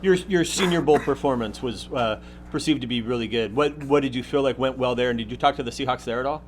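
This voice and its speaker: A man asks informal press questions as a sports reporter. He speaks fast at a middling pitch and feels his way through each question. There is faint noise on the line.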